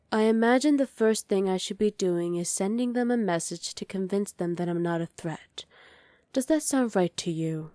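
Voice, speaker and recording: A woman's voice: on the low side, mellow, no room sound, clean studio sound, close to an everyday voice. A woman playing a dominant evil sorceress queen in an ASMR roleplay, commanding and condescending with cool menace. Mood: menacing, commanding. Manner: commanding, condescending, coolly threatening.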